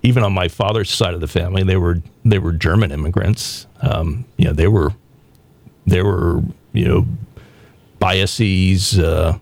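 A man from the American South hosting a talk show, speaking bluntly and provocatively with halting restarts. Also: low-pitched, a little mellow, no echo. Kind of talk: opinionated radio talk.

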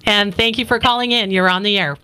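A woman's voice: medium pitch, steady tone, sharp tone, clean tone, poor audio. A woman hosting a call-in talk radio show, giving her opinions and taking calls in an opinionated, chatty way. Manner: opinionated, chatty, quick to thank callers. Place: radio studio. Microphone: studio microphone.

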